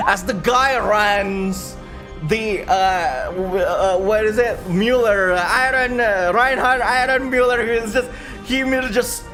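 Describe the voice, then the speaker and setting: A man running an improvised tabletop game on a livestream as game master, telling the story in a halting way while thinking aloud. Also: high voice, fairly bright tone.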